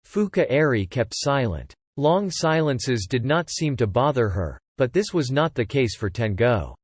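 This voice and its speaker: A man's voice: medium pitch, big pitch swings, a little slow, slightly rough, no room sound. A man narrating a scripted audiobook story in the third person.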